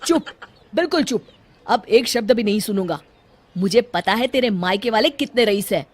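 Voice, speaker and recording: A woman voicing a hot-tempered, exasperated adult son and husband in a family story, scolding in a sharp, loud manner. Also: mid-range voice, expressive, moderate pace, background noise, natural voice. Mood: furious.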